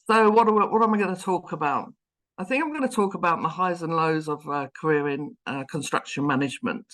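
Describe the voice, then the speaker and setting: A woman's voice: low-pitched, fairly quick, a bit bright. A woman in her fifties with a London accent, giving an online seminar talk over a video call in a chatty, self-deprecating way. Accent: London English. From London, England. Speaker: guest lecturer, professor.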